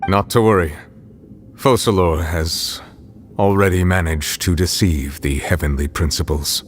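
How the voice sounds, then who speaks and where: A man playing a dignified, formal dragon sovereign in a video game cutscene, measured and reserved. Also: low-pitched, soft background music. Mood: calm, composed.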